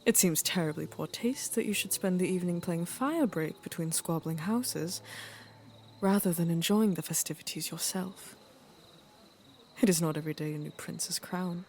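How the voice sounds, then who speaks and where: Casting: actress as a world-weary, middle-aged queen for fantasy audio drama. Gravelly fry, regal measured delivery, dry fond wit. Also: lowish voice, darkish tone, a little hiss or hum, natural voice. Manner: stately, measured, wry.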